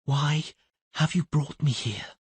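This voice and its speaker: A man's voice: high-pitched, big pitch swings, very slow, bright, forceful tone, slightly rough, no echo, pitched above the performer's own voice, full character voice. A man voicing a woman magician who speaks in urgent, controlled whispers and commands. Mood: whispering. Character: female magician, young adult woman, determined.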